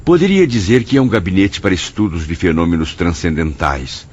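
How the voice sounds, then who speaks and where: A man's voice: deep voice, animated, brisk, a little mellow, a little hiss or hum. An actor playing a curious, troubled man recounting his own story in a Brazilian radio drama, with a dramatic, hesitant delivery and a Brazilian accent. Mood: intrigued. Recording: dull audio under clear music.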